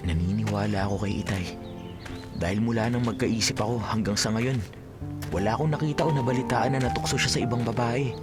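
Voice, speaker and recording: A man's voice: medium pitch, fairly quick, music in the background, close to an everyday voice. A man playing a devoted, worried young son in a Filipino radio drama, with an earnest, dramatic delivery. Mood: reflective, determined.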